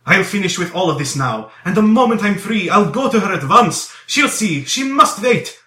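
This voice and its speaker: Man acting a frantic, impassioned young man, high-pitched. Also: sing-song, moderate pace, sharp tone, distant mic, heavily acted. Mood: ecstatic, pleading. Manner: exclamatory, pleading, repeating words. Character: impassioned, desperate young man under arrest, frantic and effusive. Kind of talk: dramatized audiobook character dialogue. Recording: roomy echo.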